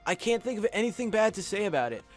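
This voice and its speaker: High-pitched young man narrating a countdown. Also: sing-song, fast talker, soft background music. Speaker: video host and reviewer. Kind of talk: scripted top-five countdown commentary. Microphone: close USB studio microphone.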